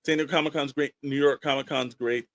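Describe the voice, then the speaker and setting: A man's voice: mid-range voice, steady tone, quick pace. An American man co-hosting a podcast in a casual, chatty style, speaking informally and repeating phrases for emphasis. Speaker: podcast co-host.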